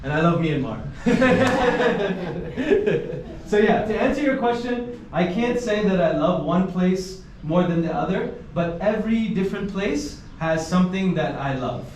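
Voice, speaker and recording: Animated man in an echoey classroom. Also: sits high, measured pace, a little hiss or hum. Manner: animated, laughs often, simple clear wording. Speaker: travel vlogger speaking to students. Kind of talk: casual talk to a class. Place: school classroom, indoors. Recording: echoey room, from a distance.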